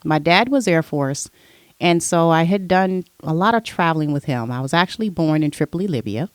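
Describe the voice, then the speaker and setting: A middle-aged woman, a podcast guest, telling stories from her past in a relaxed, conversational way. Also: sits low, a little fast, clean tone, no room sound. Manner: relaxed storyteller, recounting her past at length. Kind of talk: casual interview chat.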